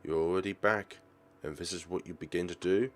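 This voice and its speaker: A man voicing a stern, condescending male schoolteacher in a visual novel, scolding slowly. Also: sits low, fairly even intonation, voice pushed down, close to an everyday voice.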